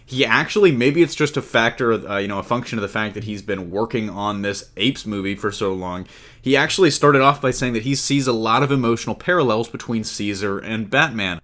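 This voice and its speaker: A man's voice: lowish voice, fairly quick, a bit bright. A man hosting a casual podcast discussion, talking in a rambling way and rephrasing his questions as he goes. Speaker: podcast host.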